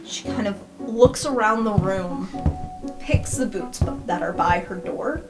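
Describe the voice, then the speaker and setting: A woman's voice: mid-range voice, a little slow. A woman playing a tabletop roleplaying game, improvising narration of what her character does. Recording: music under the voice. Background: music.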